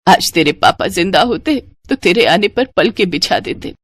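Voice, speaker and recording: A woman voicing a protective, consoling widowed mother of grown children in an animated Hindi family story. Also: lowish voice, moderate pace, slightly rough, no room sound. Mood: consoling, firm.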